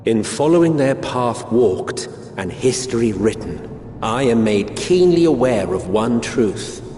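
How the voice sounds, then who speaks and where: A man's voice: mid-range voice, expressive, slow pace, warm tone. A man playing a grave, wistful storyteller, narrating a video game with theatrical, philosophical weight in a reverberant sound. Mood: wistful, solemn. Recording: long echoing reverb, faint noise.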